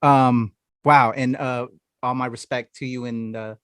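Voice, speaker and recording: A male podcast host asking halting questions and gushing with affirmations. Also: mid-range voice, fairly even intonation, clear, resonant tone, no echo, clean studio sound. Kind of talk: casual podcast interview.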